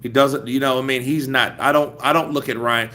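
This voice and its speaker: A man's voice: medium pitch, steady tone, a little fast, sharp tone. An American man hosting a casual live-stream boxing chat, talking loosely and repeating himself to make his point. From United States. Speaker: boxing commentary host reading live chat. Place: after leaving the gym.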